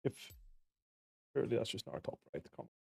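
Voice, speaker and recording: A man streaming a game, casually answering viewer questions in a relaxed, friendly way. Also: fast, no room sound. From Belgium. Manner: relaxed and friendly, with easy fillers.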